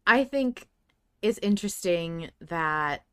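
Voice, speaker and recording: A woman co-hosting a casual fan discussion, talking in an animated, tangential way and swearing casually. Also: fairly low pitch, takes it slowly, clean tone. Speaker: podcast co-host.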